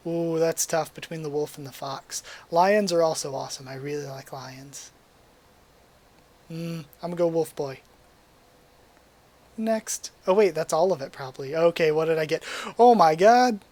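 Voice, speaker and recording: Young male vlogger, somewhat high voice, hoarse, easygoing rambling webcam chat. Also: moderate pace, pauses often. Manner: relaxed, rambling, with frequent ums and likes. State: losing his voice. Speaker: YouTuber. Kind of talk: casual quiz commentary to webcam. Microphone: desk mic at a webcam setup.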